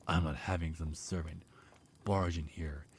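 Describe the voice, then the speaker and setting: Man acting a deep-voiced, bitter vampire. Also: fairly even intonation, unhurried, vocal fry, slight background noise, exaggerated voice. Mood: bitter, resentful. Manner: bitter, menacing, contemptuous. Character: hostile, resentful male vampire of a mansion household. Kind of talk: ASMR roleplay acting.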